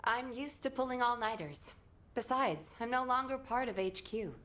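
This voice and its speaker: A woman playing a determined high-voiced young soldier. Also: normal speed, a bit bright, close to an everyday voice.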